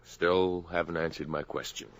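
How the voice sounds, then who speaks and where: A man's voice: low voice, steady tone, normal speed. An actor playing a persistent, teasing salesman courting his sweetheart in a noisy old 1950s radio drama recording. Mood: flirtatious. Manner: teasing, chuckling. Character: smooth-talking, persistent male suitor and salesman.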